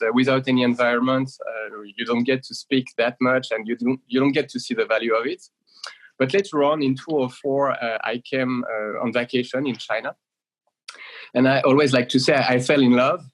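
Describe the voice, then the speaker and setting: Man in his thirties, founder guest, mid-pitched voice, explains steadily, lots of uhs. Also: low-quality recording. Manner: steady, frequent uh fillers and repeated words. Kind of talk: business interview answers.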